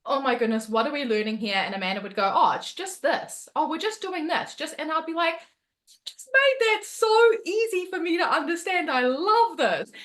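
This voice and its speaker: Bright, lively New Zealand woman. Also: high-pitched, moderate pace. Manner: lively storytelling, laughs often. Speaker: motivational coach. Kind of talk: webinar talk on a video call. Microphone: video call.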